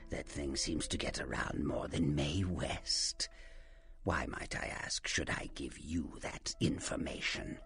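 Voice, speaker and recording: A man's voice: a bass voice, slow pace, creaky, fairly bright tone, heavily acted. A man playing a sly, taunting Nosferatu vampire and faded film star in a video game, theatrical and teasing, often dropping into a menacing whisper. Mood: hammy, wry, then sly, knowing. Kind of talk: video game character acting.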